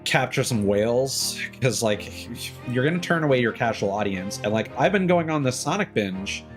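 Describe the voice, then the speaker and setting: A man's voice: mid-range voice, animated, normal speed, soft background music. A man in his thirties chatting casually on a game livestream, rambling and opinionated as he talks. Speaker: game streamer.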